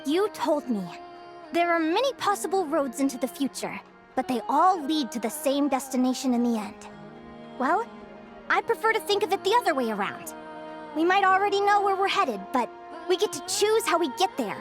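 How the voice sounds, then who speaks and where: A woman playing a cheerful, stubbornly optimistic young adventurer in a video game, bubbly, excitable and earnest. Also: high-pitched, moderate pace, music in the background. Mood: earnest, resolute. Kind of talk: animated video game voice acting.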